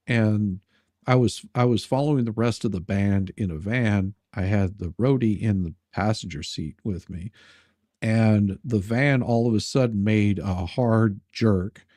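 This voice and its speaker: A man telling personal anecdotes in casual chat, halting and rambling as he repeats his words. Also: lowish voice, warm tone, dry recording, clean studio sound.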